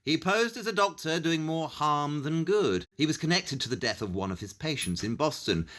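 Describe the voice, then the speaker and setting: Bright, animated Victorian detective. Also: male voice, medium pitch. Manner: deliberate, theatrical, laying out cases point by point. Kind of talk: audio play acting.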